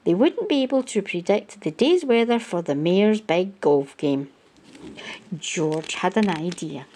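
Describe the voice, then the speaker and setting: A woman hosts a storytime, reading a picture book to children. Her voice is soft-edged and mellow at a medium pitch. She moves slowly through the sentences, with lively rises and falls in her storyteller phrasing.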